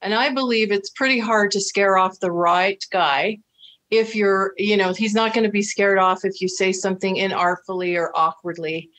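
A woman's voice: medium pitch, fairly even intonation, normal speed, fairly bright tone, low-quality recording. Chatty, reassuring middle-aged woman host. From United States. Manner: chatty, reassuring, many fillers. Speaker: dating coach and host. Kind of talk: video call interview. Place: indoor room with flowers.

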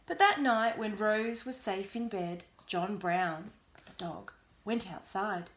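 A woman reading a bedtime storybook aloud to a young child in an expressive, deliberate way, pausing to prompt. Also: medium pitch. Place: small bedroom at home. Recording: roomy echo, background noise, clicks.

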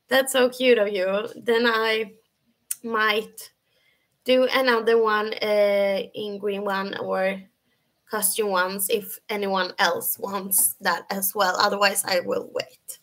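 A young woman from Sweden with a Swedish accent and a high, feminine voice, chatting casually with viewers on a webcam livestream from her bedroom, full of fillers and restarts. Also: flat delivery, takes it slowly, fairly bright tone. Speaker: livestream host. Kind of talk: casual live chat answering viewers. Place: bedroom at home, indoors.

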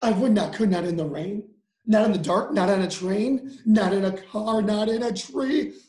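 A man's voice: high-pitched, moderate pace, darkish tone, reverberant, close to an everyday voice. A man voicing a grumpy, stubborn storybook creature, chanting rhymes with exasperated emphasis.

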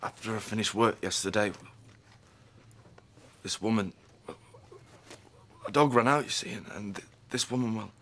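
A man's voice: on the low side, normal speed, pauses often, a bit bright. Distraught, stammering young man, faint room noise. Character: shaken young man who caused a fatal accident.

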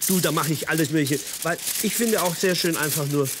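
A man's voice: fairly high pitch, background noise. An older man hosting a cooking show, chatting casually and eagerly with his guest while he cooks in a studio kitchen. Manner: chatty, enthusiastic, quick interjections.

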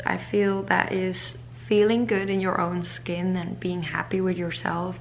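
A woman's voice: on the low side, creaky, a little hiss or hum. A woman in her twenties, raised in Zimbabwe and the Netherlands, talking openly to camera from her living room, now and then dropping to a whisper. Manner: open, reflective, slips into soft whispers. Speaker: vlogger and model. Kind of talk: casual talk to camera. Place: living room at home, indoors. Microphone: camera in front at close range.

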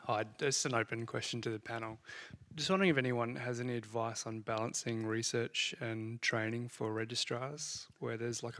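Audience man with a flat, gravelly voice, polite and tentative. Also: mid-range voice. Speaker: audience member asking a question. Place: lecture hall audience.

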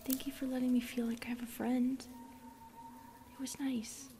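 A woman voicing a shy, lonely, gentle young forest spirit in soft, hesitant whispers, as ASMR roleplay. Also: high-pitched, flat delivery, moderate pace, slight background noise. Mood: tender, reassuring.

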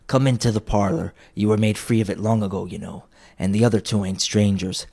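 A sly old junk dealer near seventy, gruff and low, chuckling over his bargains, as a male narrator puts him on. He talks with an easy, knowing slyness. It is a storybook reading. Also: steady tone, fairly quick, dark tone.

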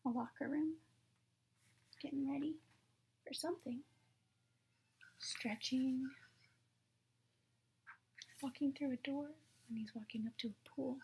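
A young adult woman reading picture books to children at story time in a soft, hushed, breathy manner. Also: sits high, slight background noise, low-quality recording. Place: small room at home, indoors.

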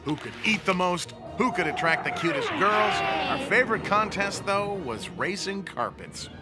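Snarky vain cat warlock, swoops all over in pitch, cartoon with music. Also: male voice, very animated, normal speed. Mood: sardonic. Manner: sardonic, dramatic, wheedling. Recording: cartoon dialogue with music behind.

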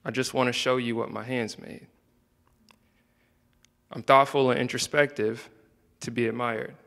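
A young man, mid-pitched and soft-spoken, reads his own spoken word poems indoors. He holds a handheld microphone, and his voice trails off into a long hall reverb. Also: fairly even intonation, measured pace, gravelly. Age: young adult. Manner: soft-spoken, hesitant between poems, with filler ums. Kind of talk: spoken word poetry reading. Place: indoor room, standing by a wall.

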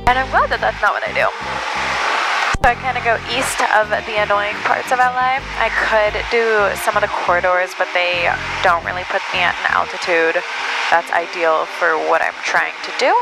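Creaky-voiced young woman in a cockpit. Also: medium pitch, moderate pace, over loud music, low-quality recording. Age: young adult. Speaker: pilot and vlogger. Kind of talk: casual self-talk and radio calls while flying. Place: cockpit of a small propeller plane in flight. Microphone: aviation headset boom mic.

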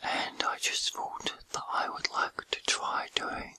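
A man whispering a guided meditation in a soothing, gentle, breathy ASMR style with unhurried pauses.

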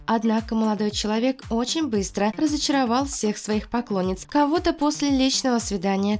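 A woman's voice: fairly high pitch, darkish tone. A woman with a cold narrating scripted celebrity gossip news in a chatty, slightly sarcastic way, over background music. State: has a cold. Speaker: celebrity news channel host.

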